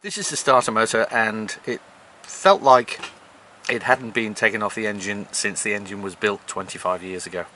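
A man's voice: fairly low pitch, normal speed, pushed and bright, slight background noise. A man in his fifties explaining things casually to camera as a sailing vlog host. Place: outdoors at a marina and aboard a sailboat.

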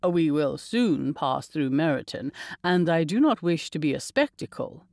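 A woman reading an audiobook, voicing a young gentleman husband with a low, dry, playfully teasing manner. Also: expressive, unhurried, creaky, dry recording, exaggerated voice. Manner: low, drawling, wry teasing.

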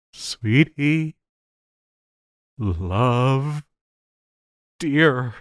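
A man playing an obsessive, lovesick supervillain in an audio roleplay, needy and melodramatic, with halting, stammering delivery. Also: medium pitch, dry recording, studio quality. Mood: panicked. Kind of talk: intimate audio roleplay acting.

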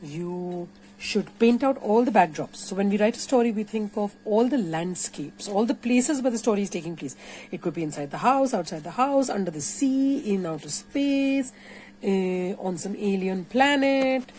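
A woman's voice: mid-range voice, animated, normal speed, a little mellow, a little hiss or hum, rough recording. An Indian-accented woman giving an informal, playful and encouraging step-by-step craft tutorial. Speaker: puppeteer teaching a craft workshop. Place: indoors at a craft table.

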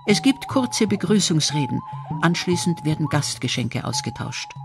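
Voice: medium pitch, sing-song, moderate pace, music in the background. A narrator reading a scripted historical documentary.

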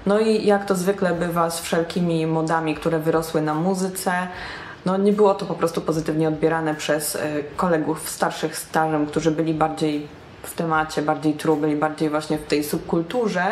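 A young woman talking to camera about alternative fashion, chatty and self-mocking, recorded in a small bright room. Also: sits low, fairly even intonation, normal speed, a little hiss or hum. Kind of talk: casual talk to camera. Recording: roomy echo.

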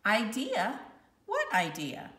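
Lady doing a cheeky school kid boy acting all innocent, storybook, bright and a bit distant. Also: mid-range voice, huge pitch swings, slow pace, full character voice. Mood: feigned innocence. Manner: exaggerated innocence. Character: cheeky fifth-grade schoolboy playing innocent. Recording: roomy, slightly distant home recording.

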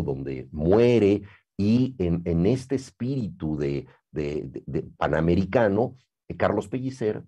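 Mexican man, middle-aged interviewer, very low pitch, mellow tone, measured and articulate. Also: slightly rough, lo-fi sound. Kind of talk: cultured interview conversation. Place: living room with bookshelves, seated.

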